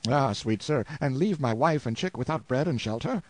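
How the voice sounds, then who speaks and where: A proud, broken peasant husband answers in firm and solemn old-fashioned speech, his voice raised a little and warm in tone, as a male storybook narrator plays him. The recording is dry and close. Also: medium pitch, lively intonation, normal speed, no room sound, voice pushed up, natural voice. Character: tortured stoic peasant husband, proud and steadfast.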